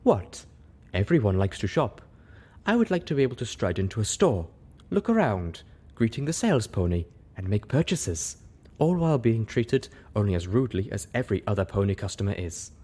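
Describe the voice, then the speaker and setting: A man's voice: lowish voice, very animated, brisk, mellow. A man voicing a stoic, resigned female zebra outcast in a storybook, intoning her words formally and plainly. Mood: wistful. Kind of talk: storybook character voice.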